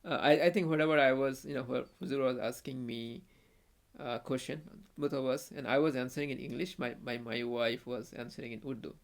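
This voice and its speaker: A young man with a Bangladeshi accent tells a story as a podcast guest. His voice has a gravelly edge, and his pitch sits in the middle. He speaks haltingly, with many restarts and fillers. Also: fairly even intonation. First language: Bengali. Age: young adult. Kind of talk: casual conversational storytelling.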